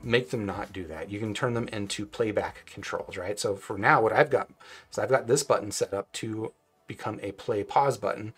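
An American man in his forties with a fairly low voice talks through a product review in a casual, conversational way. He thinks aloud and adds hedging asides as he goes. Soft music plays behind him. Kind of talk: casual tech review talk. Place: small home room, indoors.

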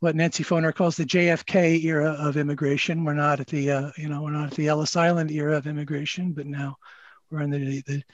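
A man speaking as a historian on a panel, explaining in a halting, thoughtful, scholarly way over a video call. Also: a little high, flat delivery, dry recording. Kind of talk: unscripted panel discussion, explanatory. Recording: dull, lo-fi video-call audio.

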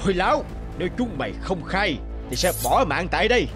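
A menacing cartoon bandit chief, played by a man, greedy and commanding. He threatens in a lively voice over music. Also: high voice, fairly quick. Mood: threatening. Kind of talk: cartoon villain acting.

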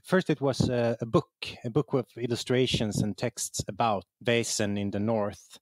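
A man from southern Sweden, a native Swedish speaker with a southern Swedish accent, talking casually and haltingly as an interview guest. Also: mid-range voice, dry recording. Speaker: interview guest, game writer.

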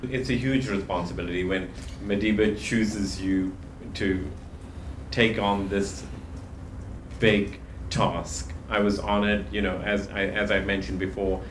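A man's voice: on the low side, steady tone, a little slow. A man speaking as a film producer at a press conference, earnest, with restarts, holding a handheld mic. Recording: roomy with room noise.

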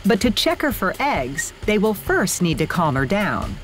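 A woman reading scripted documentary narration in a lively, playful way. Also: medium pitch, a little slow. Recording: voice-over with music bed.

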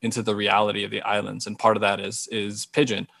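A man's voice: fairly low pitch, completely flat delivery, quick pace, vocal fry, fairly bright tone, no room sound. A man in his thirties from Hawaii, answering interview questions thoughtfully over a video call, often restarting his phrases. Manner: thoughtful, careful, frequent restarts. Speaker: guest author. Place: small indoor room. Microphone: video call with earbuds.

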